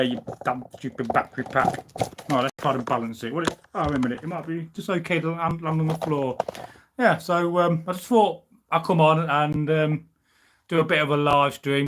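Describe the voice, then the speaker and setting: A man's voice: a little high, noisy background. A young man from the UK chatting casually on a live stream from home, rambling with many fillers and restarts. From United Kingdom. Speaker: history channel host. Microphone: camera on a tripod.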